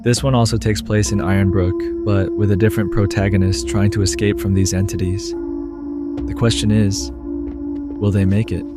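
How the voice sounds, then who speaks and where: Flat low-voiced male narrator. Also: normal speed, over loud music. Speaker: YouTube series narrator. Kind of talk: horror story recap narration.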